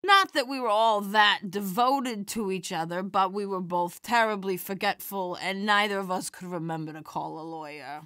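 A woman playing an elderly, kindly widowed stand-up comedienne in an audio drama, chatty and animated. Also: medium pitch, moderate pace, hardly any pauses, smooth, dry recording, studio quality, close to an everyday voice. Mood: playful, performing.

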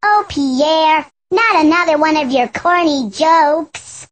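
A woman voicing a modest, gentle young girl elephant in a children's learning game, speaking deliberately. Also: sits high. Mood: patient. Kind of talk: children's educational game acting.

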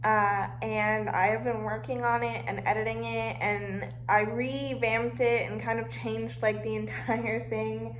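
A woman's voice: on the high side, flat delivery, measured pace, few pauses. A young woman playwright introducing her play and reading out stage directions. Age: young adult. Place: indoor room, speaking at a table and over video call. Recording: clearly noisy room sound.

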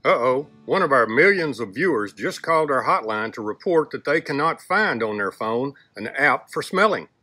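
A man's voice: medium pitch, animated, normal speed, few pauses, bright, forceful tone, soft background music. An older man hosting a garden show outdoors, joking with viewers in a teasing, theatrical way, recorded on a lapel mic. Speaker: host. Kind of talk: playful jokey garden show intro.

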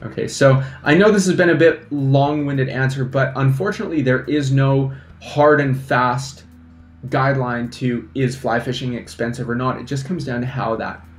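A young man, a fly fishing instructor, giving energetic casual advice to camera at a desk microphone, often checking in with "right?". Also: medium pitch, normal speed, reverberant, soft background music. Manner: energetic, animated hand gestures, lots of "right?". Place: small indoor studio against a plain backdrop. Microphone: desk microphone in front.

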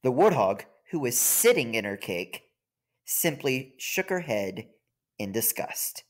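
A man reads a children's story aloud as narrator. He takes his time, and his pitch moves expressively up and down through each sentence. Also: sing-song, slow. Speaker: storybook reader.

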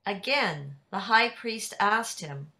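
Older woman narrating a bible story on a video call, slow n careful, really bright clear tone. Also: mid-range voice, expressive. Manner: measured, deliberate reading. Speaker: narrator in a church dramatic reading. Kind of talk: scripted scripture narration. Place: indoors at home on a video call. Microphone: video call computer microphone.

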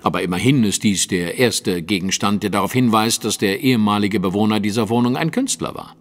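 An actor playing a precise, superior, analytical detective in an audio drama, with a didactic and pointed delivery. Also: male voice, fairly low pitch, fairly quick, long reverb tail, lightly acted. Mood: puzzled, pointed.

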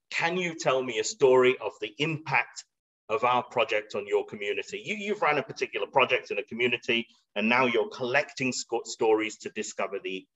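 A man's medium-pitched voice comes through somewhat dull video-call audio. He presents in an informal, explanatory way, conversational and slightly hesitant, as if working out his thoughts while speaking. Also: fairly bright tone. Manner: conversational, hesitant, thinking aloud with rhetorical examples. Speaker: webinar presenter. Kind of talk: informal explanatory webinar talk with slides. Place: indoor room.

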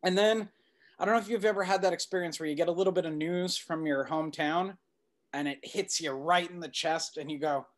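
A man's voice: fairly high pitch, steady tone, brisk. A middle-aged man, a documentary director, answering interview questions in a conversational storytelling way on a video call. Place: indoor room at home, video call.

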